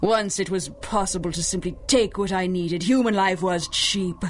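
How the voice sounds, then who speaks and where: An actress playing an ancient, life-stealing sorceress who speaks in a silky, menacing, gloating way, in a 1930s-style radio drama. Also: female voice, lowish voice, normal speed, slight background noise, lightly acted. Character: ancient scheming sorceress woman, over sixty, life-stealing villain.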